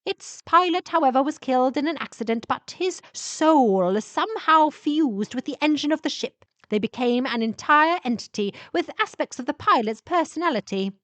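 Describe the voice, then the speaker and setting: A woman's voice: sits high, lively intonation, moderate pace, few pauses, fairly bright tone, no echo, pitched above the performer's own voice. A woman voicing a proud, somewhat arrogant male vampire professor who explains things emphatically in a sci-fi story. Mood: explaining.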